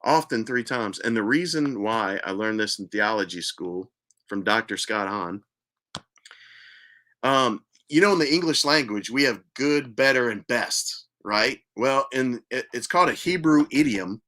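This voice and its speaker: A man earnestly telling a personal spiritual story as host, repeating himself for emphasis and sometimes dropping to a hushed voice. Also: mid-range voice, animated, a little slow. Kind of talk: personal testimony monologue.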